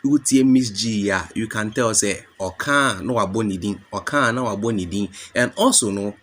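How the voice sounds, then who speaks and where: A man's voice: lowish voice, moderate pace, fairly bright tone, a little hiss or hum. A Ghanaian man presenting a gossip channel, speaking to his viewers as family in English mixed with Twi. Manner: addresses viewers as family, explains and praises. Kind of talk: commentary mixing English and Twi.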